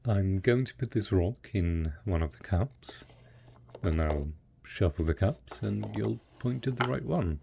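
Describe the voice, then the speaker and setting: A man playing a devoted, patient husband in an intimate post-apocalyptic audio roleplay, coaxing slowly and hesitantly in a soft, husky voice. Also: sits low, expressive, a little hiss or hum, exaggerated voice. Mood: gentle, coaxing. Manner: slow, coaxing, hesitant, talking as if to a child. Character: devoted, patient husband tending zombie spouse after apocalypse.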